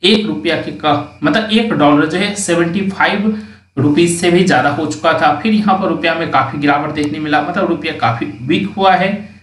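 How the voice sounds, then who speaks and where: Distant, roomy voice of a chart analyst. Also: male voice, fairly high pitch, normal speed, fairly bright tone. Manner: explanatory, points at the chart, reads out price levels. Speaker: stock market analyst. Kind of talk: screen-recorded chart walkthrough.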